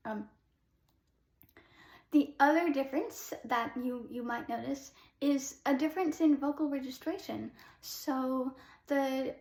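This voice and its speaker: A young woman who sings soprano, teaching a casual singing lesson. She explains with short sung demos and fills pauses with "um.". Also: high-pitched, a little mellow, reverberant. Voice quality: soprano speaking voice.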